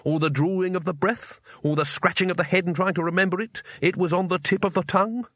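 A man's voice: high voice, animated, brisk, fairly bright tone, dry recording, voice pushed up. A man voicing a hapless, cowardly wizard in a storybook reading, nervy, indignant and sarcastic. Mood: nasty sarcasm.

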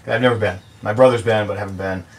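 Low-voiced man asking short questions. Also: steady tone, very fast, distant mic. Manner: brief prompting questions and short reactions. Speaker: podcast host. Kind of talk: casual interview chat.

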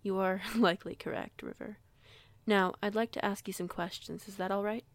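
Woman acting a creaky-voiced, composed werewolf alpha. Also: medium pitch, fairly even intonation, no room sound, lightly acted. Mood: gently probing. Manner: formal, measured wording, reassuring. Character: composed, courteous female werewolf pack alpha, gently authoritative. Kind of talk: audio roleplay acting.

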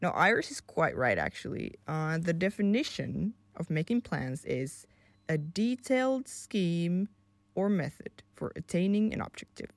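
A woman's voice: fairly low pitch, big pitch swings, a little slow, gravelly, dry recording, full character voice. A woman playing an upbeat, helpful character full of ideas in an audio drama. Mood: conversational.

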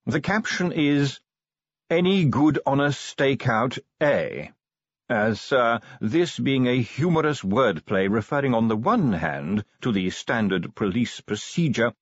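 A man voicing a tactful, unflappable butler in an audiobook, formal, measured and cautiously polite. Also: medium pitch, expressive, dry recording, dull audio, voice pushed up. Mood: formal, apologetic. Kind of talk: audiobook character voice.

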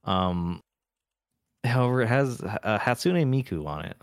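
A man co-hosting a podcast in casual chat, deadpan and rambling, with a lot of "like" and "uh". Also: on the low side, measured pace, no room sound. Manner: deadpan, rambling, lots of fillers. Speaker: podcast co-host.

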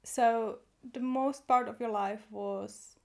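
A woman's voice: fairly high pitch, steady tone, slow pace, vocal fry, a little mellow. Woman hosting a curious interview. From Ukraine. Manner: curious, asks probing follow-up questions. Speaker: host. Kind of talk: casual interview.

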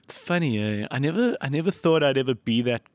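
A middle-aged South African man with a slightly croaky voice, hosting a casual chat and telling anecdotes in a self-deprecating way. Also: medium pitch, animated, fast talker, darkish tone, no echo. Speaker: podcast host.

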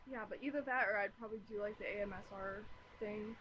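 A woman's voice: mid-range voice, fairly even intonation, fast, muffled recording, slight background noise. A woman guest in casual chat, rambling with lots of fillers.

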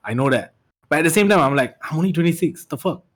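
A man's voice: on the high side, animated, fast. Laid-back Malaysian guy, mid-twenties. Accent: Malaysian English. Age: 20s. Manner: laughs often, swears freely, loose restarts. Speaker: podcast co-host. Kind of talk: casual chat.

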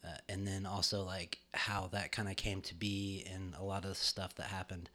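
A man with a very low, deep voice talks casually on a podcast from a home studio. He rambles with fillers and slips in jokes. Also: flat delivery, normal speed, sharp tone. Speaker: podcast co-host. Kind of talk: casual chat.

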